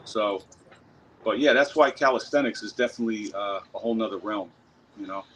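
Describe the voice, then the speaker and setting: A man's voice: on the low side, fairly quick, a little hiss or hum, poor audio. Laid-back man chatting mid-workout. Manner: relaxed, rambling, swears freely. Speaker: workout channel host. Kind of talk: casual chat during outdoor workout. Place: outdoor park.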